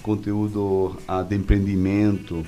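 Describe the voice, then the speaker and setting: Low-voiced Venezuelan man, halting delivery. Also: little pitch movement, mellow, faint music behind. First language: Spanish. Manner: halting, searching for words in a second language. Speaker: interview guest, media executive. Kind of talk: conversational interview answers.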